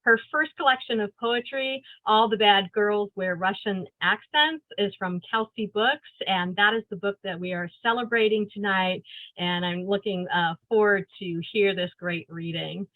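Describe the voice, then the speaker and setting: A woman's voice: medium pitch, normal speed, dry recording, phone-quality sound. A woman hosting and introducing a poetry reading over a video call, with small hesitations as she reads the introduction. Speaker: host and editor. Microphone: video call microphone.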